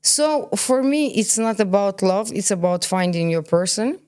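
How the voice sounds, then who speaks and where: A woman's voice: mid-range voice, lively intonation, moderate pace, darkish tone, clean tone. A woman around forty, born in Kazakhstan and raised in Belgium, speaking candidly in an interview. She is opinionated and emphatic, often posing questions and answering them herself. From born in Kazakhstan, grew up in Belgium. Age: about 40. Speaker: podcast guest, cosmetologist.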